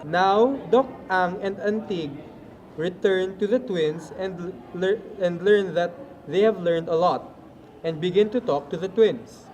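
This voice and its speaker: Slow, high-voiced man narrating haltingly. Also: darkish tone, slight background noise. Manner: halting reading with stumbles. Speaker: narrator of a puppet show. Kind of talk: scripted narration.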